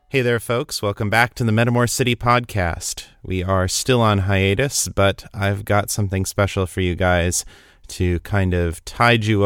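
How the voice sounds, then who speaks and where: A man's voice: deep voice, a bit bright. A man hosting a podcast, giving introductions and chatting casually with his guests. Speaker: podcast host. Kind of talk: scripted introduction and casual round-table chat.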